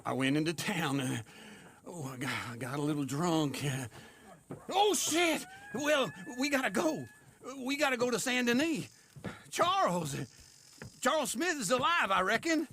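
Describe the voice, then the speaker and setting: A man playing a lazy, wheedling old drunkard of the Old West in a video game, with a gravelly American rural Western drawl and a theatrical, needling manner. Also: high-pitched, lively intonation, measured pace, pushed and bright, exaggerated voice. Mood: whining, flustered. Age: older. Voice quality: gravelly, crackly. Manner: theatrical, cackling, folksy whining and needling. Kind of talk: video game cinematic acting.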